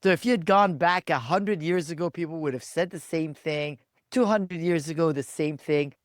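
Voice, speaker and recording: A man hosting a leadership podcast, asking questions in a slow, deliberate way. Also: a little high, no room sound, studio quality. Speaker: podcast host. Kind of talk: interview hosting.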